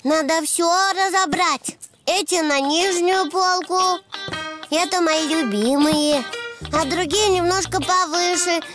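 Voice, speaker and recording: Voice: extremely high pitch, slow. A performer voicing a cheerful, curious little boy donkey in a preschool cartoon, childlike and exclamatory. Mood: busy, cheerful. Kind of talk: preschool cartoon dubbing in Russian. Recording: music behind the voice.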